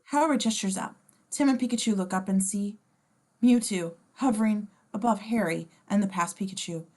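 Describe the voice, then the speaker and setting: A woman's voice: medium pitch, moderate pace, warm tone. A woman reading a script's scene descriptions at a table read, informal and playful, with casual asides. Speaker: stage-direction reader.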